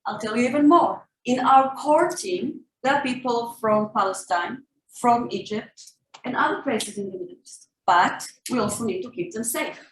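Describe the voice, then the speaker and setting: A woman's voice: fairly high pitch, moderate pace. A woman born in Israel, an activist answering questions on a workshop panel in an insistent, emphatic way. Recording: echoey room, faint noise.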